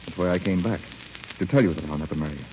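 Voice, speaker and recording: Man acting a wounded army captain, low pitch, bitter and resigned, clipped hesitant delivery, old radio drama, dull hissy recording. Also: rapid-fire, mellow, natural voice. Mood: controlled, insistent.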